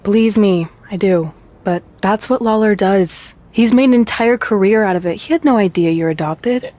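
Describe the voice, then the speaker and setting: A woman's voice: medium pitch, moderate pace, mellow, lightly acted. Young woman wrestler female actor, game cutscene, mid-range everyday voice, direct, wry and loyal. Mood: understanding. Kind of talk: video game cutscene acting.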